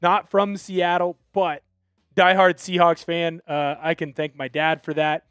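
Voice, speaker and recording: A man's voice: fairly high pitch, little pitch movement, measured pace, a bit bright, clean tone, dry recording. A young man from Washington State hosting a live football talk stream, opinionated and fired up as he reads out viewer texts. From Washington State, USA. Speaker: sports show host.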